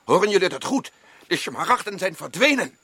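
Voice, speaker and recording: Male actor: insistent, rattled expedition man, bright tone. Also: high voice, sing-song, a little fast, husky, no echo, full character voice. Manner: urgent, rising to shouts. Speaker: expedition member Joost. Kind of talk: radio drama acting.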